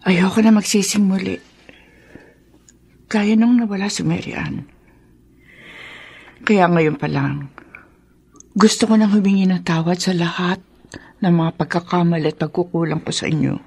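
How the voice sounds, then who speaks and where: A woman's voice: fairly low pitch, steady tone, moderate pace, gravelly, long pauses, a little mellow, breathy edge. A Filipino woman playing a worried, tender, remorseful middle-aged mother in a radio drama. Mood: remorseful, pleading.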